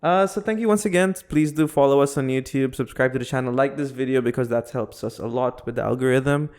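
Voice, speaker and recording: A man's voice: medium pitch, darkish tone. A young man from the Philippines co-hosting a casual podcast, playful and joking. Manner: halting, searching for words in a second language. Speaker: podcast co-host.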